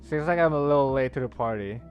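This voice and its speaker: A man's voice: mid-range voice, fast talker. Casual male game streamer over faint music. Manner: friendly greetings to viewers by name. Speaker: game livestreamer. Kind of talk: casual chat with stream viewers, reading chat aloud. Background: soft background music.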